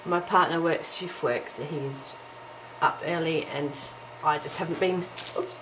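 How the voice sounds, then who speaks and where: A middle-aged woman chatting casually while she crafts at home early in the morning, her voice croaky from just waking up, with lots of ums and small laughs. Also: deep voice, fairly even intonation, a little slow. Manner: chatty and self-deprecating, with frequent ums and little laughs. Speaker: crafting vlogger. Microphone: camera's built-in mic. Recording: noisy home camera recording.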